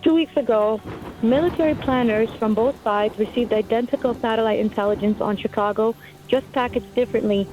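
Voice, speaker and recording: A woman's voice: a little high, brisk, a little mellow, lightly acted. A woman playing a military communications insider calling a radio show in a war drama, speaking in precise, formal briefing style. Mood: serious, precise. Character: serious female military communications insider turned whistleblower. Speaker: radio caller. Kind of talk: scripted testimony, audio drama. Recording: dull narrow-band call with music behind.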